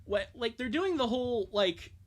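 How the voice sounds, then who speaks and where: Rambling guy with a swooping, lively pitch. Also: moderate pace. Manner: rambling, sweary, breaks off mid-sentence. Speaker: streamer doing live readings. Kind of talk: casual live comic reading with joking asides.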